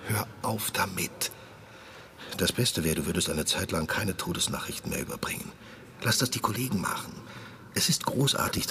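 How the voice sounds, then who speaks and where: A man's voice: low voice, fairly bright tone. A man playing a blunt, exasperated but protective police detective in a radio drama, with emphatic, insistent delivery. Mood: concerned. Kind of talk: radio drama dialogue in a flashback. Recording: long reverb, faint ambient noise.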